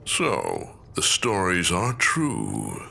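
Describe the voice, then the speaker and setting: A man playing a gruff, jaded older man with a gravelly, deliberate, dry delivery in an audio drama. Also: low-pitched, huge pitch swings, sharp tone, exaggerated voice. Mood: cold, condescending.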